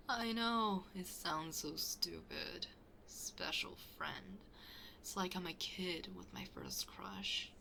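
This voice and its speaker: Woman acting bashful best friend, slow and breathy. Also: lowish voice, bright, forceful tone, heavily acted. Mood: playful, mildly annoyed. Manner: hesitant, bashful, often dropping to near-whisper. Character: shy, flustered best friend hiding a crush. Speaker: ASMR roleplay performer. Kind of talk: ASMR roleplay, intimate casual conversation.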